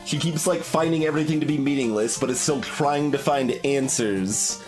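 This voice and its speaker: A man's voice: mid-range voice, moderate pace, darkish tone. Man analysing a game aloud, music behind. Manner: hesitant, thinking aloud, analytical. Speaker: video game streamer. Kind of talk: casual analytical live playthrough commentary with poem read aloud.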